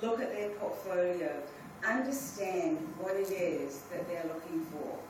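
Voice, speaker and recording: A middle-aged woman speaks to a conference room in a relaxed, direct way, often restarting her phrases. She is picked up from a distance, so her voice rings around a very reverberant hall, and steady background noise runs underneath her. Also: lowish voice, a little slow. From New Zealand. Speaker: panel speaker. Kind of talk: informal conference talk. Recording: very echoey hall from far off, with ambient noise.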